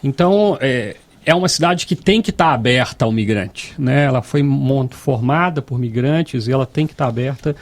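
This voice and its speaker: Guy, mid-pitched, chatting as a guest on a podcast. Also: lively intonation, moderate pace. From Juiz de Fora, Brazil. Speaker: guest, director of a migrant aid association. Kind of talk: conversational interview.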